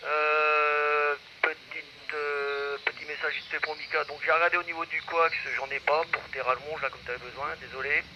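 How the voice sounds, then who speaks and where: A man's voice: a little high, little pitch movement, normal speed, hardly any pauses, lo-fi sound, background noise. A man, an amateur radio operator, chatting casually in a rambling, self-correcting way over a radio transmission.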